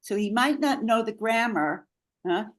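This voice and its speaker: A woman's voice: fairly high pitch, lively intonation, unhurried. A woman English coach hosting an online webinar, encouraging participants with praise over a video call.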